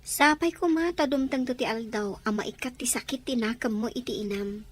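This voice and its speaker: Woman acting a soothing, earnest friend, highish voice. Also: moderate pace, natural voice. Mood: soothing, concerned. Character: caring female friend consoling a hurt young woman. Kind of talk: radio drama acting.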